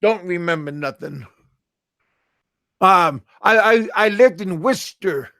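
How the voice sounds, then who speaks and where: A middle-aged American man chatting casually on a live stream, halting and repeating his words. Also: sits high, lively intonation, bright, forceful tone, no echo, studio quality. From USA. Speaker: livestream host.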